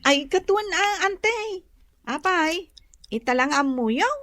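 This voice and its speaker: A woman's voice: very high voice, animated, slow, a bit bright, no room sound, clean studio sound, full character voice. A woman with an Ilocano accent playing a polite young nursing student niece who cleans for work, in a radio drama. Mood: agreeable.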